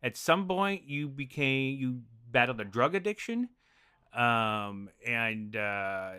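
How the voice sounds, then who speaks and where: Loud, bright-voiced chatty man. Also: medium pitch, slow, clean tone. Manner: naturally loud, animated storytelling. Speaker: podcast guest, improv performer. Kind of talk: casual chat.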